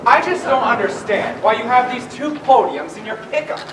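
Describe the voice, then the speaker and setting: An indignant, self-important young man acted by a male stage player speaks in a high voice with earnest pomposity. It is an amateur play, echoing in a hall and picked up from a distance. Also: expressive, moderate pace. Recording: echoey hall, distant mic, faint noise.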